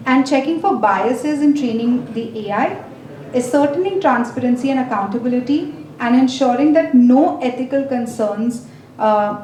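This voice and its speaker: A woman with an Indian accent giving a legal presentation at a conference, explaining in a measured way with frequent fillers. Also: fairly high pitch, a little mellow, distant mic. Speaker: legal expert speaker. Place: large conference hall. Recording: echoey hall, speaker off-mic, faint noise.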